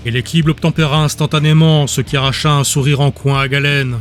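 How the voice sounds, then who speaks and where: A man narrating a dark fantasy audiobook in a steady, measured storytelling voice. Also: fairly high pitch, soft background music. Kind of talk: scripted narration.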